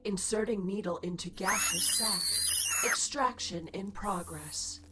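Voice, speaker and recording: A woman's voice: mid-range voice, unhurried, heavy background noise, close to an everyday voice. A woman voicing an emotionless facility computer in an audio drama, making detached, procedural announcements. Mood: impassive.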